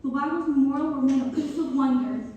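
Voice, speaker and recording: A polite, hopeful young townswoman played by an actress speaks sincerely on stage. Her voice is high and mellow, coming from far off in a very echoey hall. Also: fast, slight background noise, lightly acted. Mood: fond, hopeful. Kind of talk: community theater read-through.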